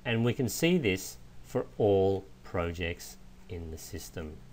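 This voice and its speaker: A man with a fairly low voice talks through software step by step as an instructor. His delivery is methodical and explanatory. Noticeable background noise sits behind the voice. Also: slow pace. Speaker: software trainer. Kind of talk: instructional screen walkthrough narration. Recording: clear steady background noise.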